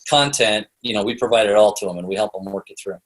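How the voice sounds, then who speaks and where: A man's voice: fairly low pitch, quick pace, poor audio. North American man, casual interview guest. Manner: conversational, explains through hypothetical examples and quoted lines. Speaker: interview guest, author and storytelling consultant.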